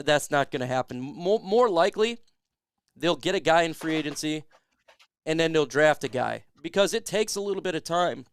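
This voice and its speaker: A man's voice: on the high side, gravelly, clear, resonant tone, no room sound, studio quality. A man hosting a casual sports talk show who is losing his voice, speaking emphatically and reading out viewer questions. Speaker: podcast host.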